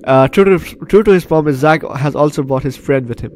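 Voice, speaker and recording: A man's voice: a little high, a little fast, a little mellow, slight background noise. A man with the flu doing let's play commentary, reading game text aloud and joking in a repetitive, bantering way. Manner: jokey, repeats phrases, banters with characters. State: sick with flu. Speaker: gaming YouTuber.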